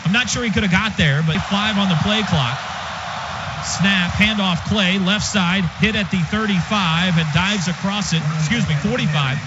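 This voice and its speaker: High-pitched man calling live football. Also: normal speed. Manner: clipped running description. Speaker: play-by-play announcer. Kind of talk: live radio football commentary. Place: stadium radio booth. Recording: dull radio broadcast, stadium noise behind. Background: clear crowd and stadium noise.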